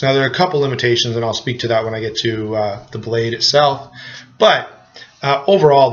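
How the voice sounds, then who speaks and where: A man's voice: mid-range voice, fairly bright tone, muffled recording. A man with a cold giving a casual, rambling knife review to camera, full of restarts and fillers. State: has a cold.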